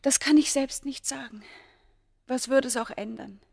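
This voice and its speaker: An actress playing a fearful, devoted young noblewoman of ancient Egypt in a radio drama, hushed and pleading. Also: high voice, moderate pace, gravelly. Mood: anxious pleading.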